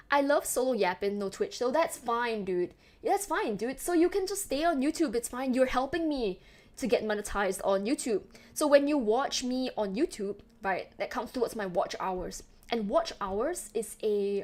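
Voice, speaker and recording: Chatty Singaporean woman streamer, bright pitch. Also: lively intonation, a little slow. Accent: Singaporean, softened toward Western English. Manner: chatty, rambling, laughs often, many fillers. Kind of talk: casual chat with live chat.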